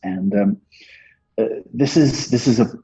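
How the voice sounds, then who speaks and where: A man in his forties with a Dutch accent, a marketing guest talking business in a measured, hesitant way with many fillers and restarts. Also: medium pitch, warm tone, breathy edge. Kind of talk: business interview, explaining. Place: office room, indoors. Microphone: earbuds on a video call. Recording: dull lo-fi video call audio.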